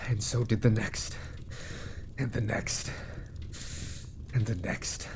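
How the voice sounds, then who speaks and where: A man playing an arrogant, scheming immortal mage minister in an ASMR roleplay, haughty and deliberate, trailing into hushed asides. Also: lowish voice, steady tone, noisy background. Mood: smug, gloating. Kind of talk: ASMR roleplay villain monologue.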